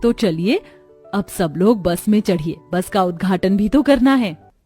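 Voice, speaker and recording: A woman's voice: medium pitch, animated, unhurried, dark tone, husky, faint music behind. A woman playing a kind, educated young village daughter-in-law in a cartoon, speaking patiently and encouragingly. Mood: upbeat.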